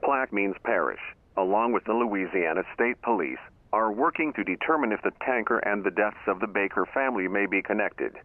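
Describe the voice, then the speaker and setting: A man reads a scripted news report in a fairly low voice. The sound is narrow and tinny, like a small radio, with very little room in it. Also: brisk, few pauses, no room sound.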